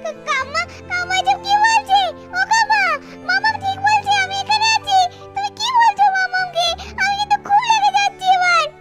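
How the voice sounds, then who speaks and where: A little girl ghost with an extremely high, childlike voice. She is innocent and bewildered, calling out again and again in pleading tones. It is doll-cartoon acting with music playing behind. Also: moderate pace, a bit bright. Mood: indignant. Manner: childlike, repetitive, pleading calls.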